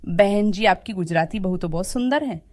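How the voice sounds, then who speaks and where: A woman's voice: medium pitch, normal speed, a little mellow, no room sound, lightly acted. Woman acting effusive, gracious lady guest. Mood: delighted.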